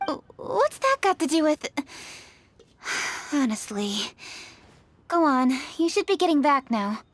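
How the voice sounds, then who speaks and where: A woman's voice: high-pitched, very animated, slow pace, fairly bright tone, no room sound, exaggerated voice. A woman playing a proud, formal, principled young noblewoman in a video game, no-nonsense but easily embarrassed. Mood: flustered. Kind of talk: video game cutscene acting.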